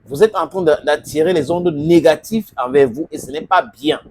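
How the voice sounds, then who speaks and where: Animated, gravelly man lecturing. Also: fairly high pitch, moderate pace. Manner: scolding, lecturing, addresses the player directly. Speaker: sports commentator. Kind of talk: opinion monologue on football.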